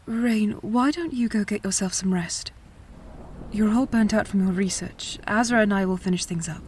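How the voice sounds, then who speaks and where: Woman playing a grieving, hushed noblewoman, noisy backdrop. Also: medium pitch, moderate pace, natural voice. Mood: concerned, gentle. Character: grieving noblewoman countess and adoptive mother, tender, anguished. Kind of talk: audio drama acting.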